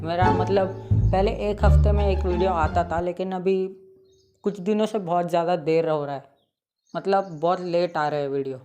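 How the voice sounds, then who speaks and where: A teenage boy with a slightly sore throat, hosting his channel and casually recapping a cartoon episode with lots of fillers. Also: sits high. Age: late teens. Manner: chatty, full of "matlab" fillers. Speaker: YouTube channel host.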